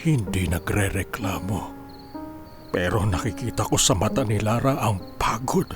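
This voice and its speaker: An actor playing a guilt-ridden, ailing Filipino father in a radio drama, halting and heavy-hearted. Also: fairly low pitch, close to an everyday voice. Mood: sorrowful, regretful. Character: guilt-ridden, ailing father grieving his family's hardship. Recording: music behind the voice.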